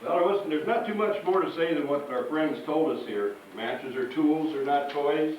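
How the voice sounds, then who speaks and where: A man's voice: a little high, normal speed, a little mellow. A man presenting as a firefighter to children, speaking in simple instructive terms. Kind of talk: live children's safety presentation. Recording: echoey, distant, noisy room.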